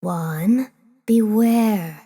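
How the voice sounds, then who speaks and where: Audiobook fantasy role: serene flower-and-tea goddess, female actor, warm dark timbre, courteous and composed. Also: medium pitch, slightly rough, heavily acted. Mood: firm, composed. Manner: calm, measured.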